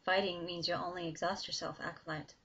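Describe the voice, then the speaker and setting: A woman voicing a cold, contemptuous male demon who speaks low, quiet and formally in a dull lo-fi recording. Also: flat delivery, a little fast, breathy edge, full character voice.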